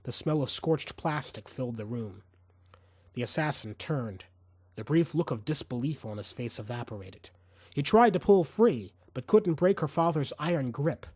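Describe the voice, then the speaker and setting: A man's voice: medium pitch, expressive, moderate pace, darkish tone, husky, no echo. A man narrating fiction as a dramatic audiobook reader, intense and suspenseful.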